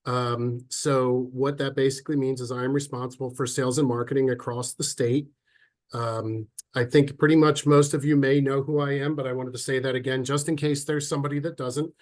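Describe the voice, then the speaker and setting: A man with a head cold and a slightly stuffy voice, giving a relaxed, chatty online presentation with frequent ums and restarts over a computer mic. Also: medium pitch, fairly quick. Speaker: sales and marketing officer presenting a webinar. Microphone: computer mic on a video call.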